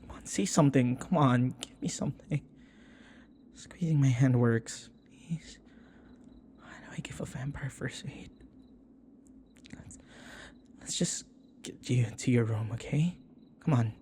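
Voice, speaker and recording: A man acting in an intimate audio roleplay as a devoted young human servant, speaking in a soft, halting, tender and pleading way. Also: medium pitch, whispered, close to an everyday voice. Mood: worried, urgent.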